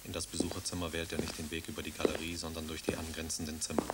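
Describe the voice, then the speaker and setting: A male narrator with a very deep voice reads radio drama narration quickly and evenly. His delivery is flat and matter-of-fact. Loud clicking noise runs under the recording.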